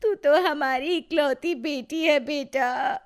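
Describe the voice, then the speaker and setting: A woman's voice: high-pitched, takes it slowly, pitched above the performer's own voice. A woman voicing a distraught middle-aged mother in a cartoon story, lamenting tearfully and pleading. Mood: anguished, tearful. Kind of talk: animated Hindi moral story acting.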